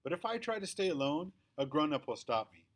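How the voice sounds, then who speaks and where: A man reading a children's story aloud in the first person, with expressive, emphatic storytelling. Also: on the high side, brisk. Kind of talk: scripted children's book read-aloud, first-person narration.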